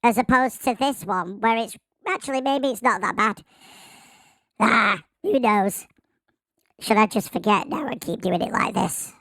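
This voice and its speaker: A man's voice: unhurried, sharp tone, dry recording, heavily acted. A man who is losing his voice, a podcast host talking casually while a filter shifts his voice upward. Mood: joking. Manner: chatty, joking. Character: the host himself, pitched up by a button. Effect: pitch-shifting voice filter.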